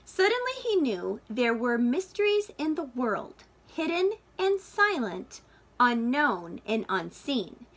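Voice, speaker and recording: A woman reading a children's picture book aloud like a teacher, with expressive, deliberate and dramatic phrasing for young listeners. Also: sits high.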